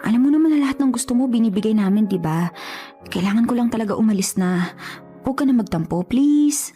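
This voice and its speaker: High-voiced, pleading young-sounding older sister played by an actress. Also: female voice, lively intonation, fast talker, warm tone, faint music behind, close to an everyday voice. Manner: hesitant, pleading, stammering excuses. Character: evasive, guilt-ridden older sister torn over a secret pact. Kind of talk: Tagalog radio drama acting.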